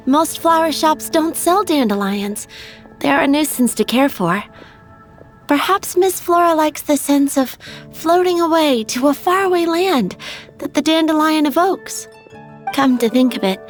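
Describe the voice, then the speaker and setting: A woman acts a young game shopkeeper selling flowers, her voice extremely high and girlish. She is polite and earnest, easily flustered, with soft music underneath. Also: close to an everyday voice. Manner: earnest, stammering when nervous. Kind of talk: video game voice acting. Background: faint music.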